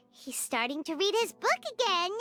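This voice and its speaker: A tiny fairy girl with an extremely high, squeaky voice that swoops wildly. She is excitable and easily annoyed, an anime game sidekick who stammers and exclaims over loud music. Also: measured pace, exaggerated voice. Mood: indignant. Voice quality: squeaky, childlike.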